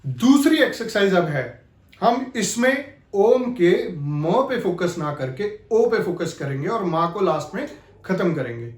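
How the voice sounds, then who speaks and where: A man with a notably high-pitched voice presents an acting lesson. He explains things directly to the viewer in an instructional way. The room adds a clear echo to his voice. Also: sing-song, measured pace. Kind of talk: instructional video talk with spoken demonstrations. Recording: echoey, reverberant room.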